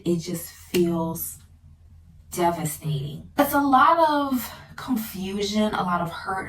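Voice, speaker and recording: A young woman in her early twenties talks casually to her followers in a girly, familiar way. Her voice sits a little away from the mic, with faint noise around it. She comes across as a vlogger sharing advice. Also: on the low side. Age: early 20s. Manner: girly, familiar address to viewers. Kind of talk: casual advice chit chat to camera. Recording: distant mic with light background noise.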